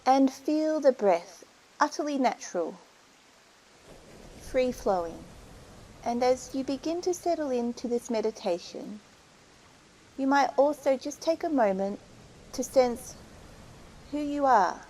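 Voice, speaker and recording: A woman leading a guided meditation, giving soothing, unhurried instructions with pauses between phrases. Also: high-pitched, animated, dark tone, poor audio.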